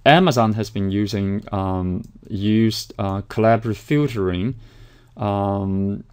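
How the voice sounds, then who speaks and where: Man, lecturer, low pitch, hesitant with fillers. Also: takes it slowly, darkish tone, clear, resonant tone. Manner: hesitant, many fillers and restarts. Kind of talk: recorded slide lecture.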